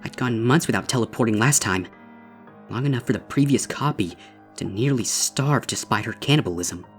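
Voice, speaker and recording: A man's voice: mid-range voice, natural voice. Man reading as haunted, desperate female unicorn, music behind. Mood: desperate, intense. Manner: grave, deliberate, intense. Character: traumatized young female unicorn, horrified and desperate. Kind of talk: dramatic first-person horror story reading.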